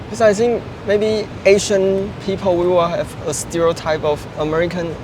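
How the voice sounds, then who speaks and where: A man's voice: high voice, little pitch movement, moderate pace. Eager Taiwanese-accented man interviewing on a street. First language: Mandarin. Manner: enthusiastic, reacts with wow and mm. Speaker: host, interviewer. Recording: traffic noise behind.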